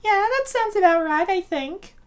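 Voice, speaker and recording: A woman's voice: extremely high pitch, lively intonation, moderate pace. A woman voicing a bubbly, slightly ditzy young college student in a comic dub. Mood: earnest. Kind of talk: comic dub character acting.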